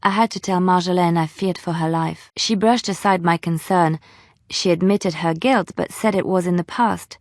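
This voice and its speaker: An actress voicing a young female bard and former spy in a video game, soft-hearted but cunning, with a French accent and measured, earnest, formal phrasing. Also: on the low side, dry recording, studio quality, lightly acted. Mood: earnest, regretful. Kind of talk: dramatic game dialogue.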